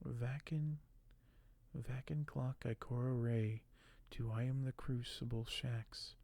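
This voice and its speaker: A man speaking softly and gently for an ASMR video, sometimes close to a whisper, with a halting delivery as host. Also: mid-range voice, little pitch movement, no room sound. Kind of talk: soft-spoken ASMR, partly whispered; reading aloud and commenting on collectibles.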